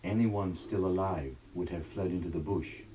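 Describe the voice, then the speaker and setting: A man narrating an audiobook dramatically, with a coached South Sudanese Dinka accent and a slightly husky voice. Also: low voice, steady tone, moderate pace, warm tone, a little hiss or hum, rough recording.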